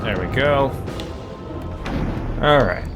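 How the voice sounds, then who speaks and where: A male game streamer talks casually to his viewers in a medium-pitched voice, with music playing clearly behind him. He rambles in a self-deprecating way and throws in playful exclamations as he plays. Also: animated, slow pace. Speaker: game livestreamer and podcaster. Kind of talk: casual gameplay chat with viewers. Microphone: streaming setup, headphones on.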